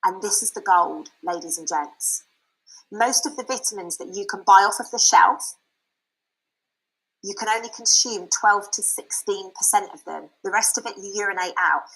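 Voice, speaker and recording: Frank, bright-voiced woman. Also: medium pitch, normal speed, gravelly, husky, rough recording. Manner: frank and chatty, with many fillers and restarts. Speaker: speaker sharing a product testimonial. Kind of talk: candid personal testimony and sales pitch.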